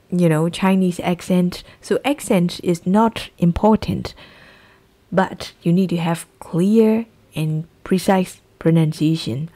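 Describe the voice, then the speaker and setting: A Burmese-accented woman in her thirties teaches an English exam lesson in a lowish, warm voice. She says key phrases slowly and repeats them so the class can copy them. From Myanmar.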